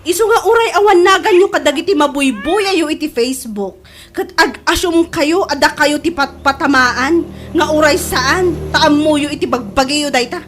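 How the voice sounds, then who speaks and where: A woman acting in an Ilocano radio comedy drama as a sassy, sharp-tongued scold with an Ilocano accent. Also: high voice, moderate pace, slight background noise. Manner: scolding, taunting.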